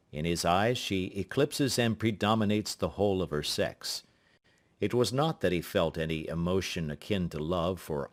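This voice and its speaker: A man narrating a literary audiobook in a measured, formal delivery. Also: low-pitched.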